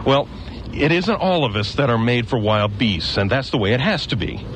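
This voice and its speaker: An actor playing a hardened former guardsman who survives alone in a ruined town, gruff and matter-of-fact, lecturing with bitter conviction. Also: medium pitch, lively intonation, normal speed, slight background noise, lightly acted. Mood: scornful. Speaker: stranger with a knife. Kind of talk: local radio drama.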